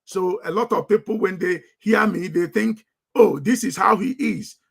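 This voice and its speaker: A middle-aged man broadcasting live, commanding and forceful, repeating himself for emphasis. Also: high-pitched, normal speed, gravelly, a crowd in the background.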